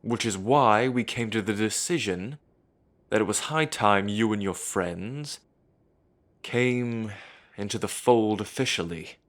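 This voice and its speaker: A man's voice: on the low side, slow pace, a bit bright. A man voicing an authoritative werewolf alpha elder. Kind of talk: amateur audio drama acting.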